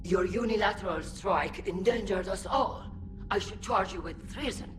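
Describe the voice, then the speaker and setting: An actress playing an authoritative alien admiral in a video game, formal and stern. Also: female voice, medium pitch, normal speed, over music, natural voice. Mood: indignant.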